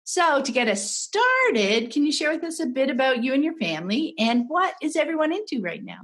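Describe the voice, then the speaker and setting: Lively, high-voiced woman podcast host. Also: a little fast. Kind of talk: podcast interview.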